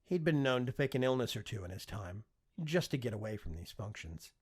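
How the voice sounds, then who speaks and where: A man narrating a fiction audiobook with expressive, scripted storytelling delivery. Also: medium pitch, brisk, breathy edge.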